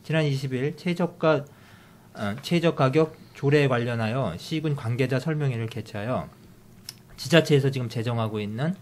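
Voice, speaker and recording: A middle-aged man hosting a casual podcast. He talks in a halting way with many fillers and restarts, reading out listener comments and news. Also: mid-range voice, darkish tone, slight background noise. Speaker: podcast host.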